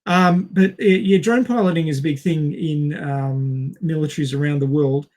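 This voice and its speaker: A man with a fairly high-pitched voice co-hosts a casual movie discussion. He explains at length and wanders into tangents, often pausing to find the next word. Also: a little mellow. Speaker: podcast co-host.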